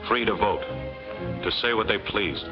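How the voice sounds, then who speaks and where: A man's voice: lowish voice, moderate pace, lightly acted. A man with an American accent narrating a 1940s film in a folksy, emphatic way. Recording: dull 1940s film soundtrack with music.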